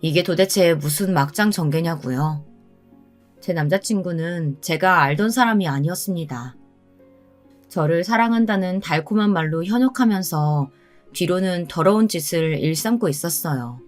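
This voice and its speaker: A woman's voice: on the low side, moderate pace, faint music behind. A woman reading a scripted first-person story as its narrator.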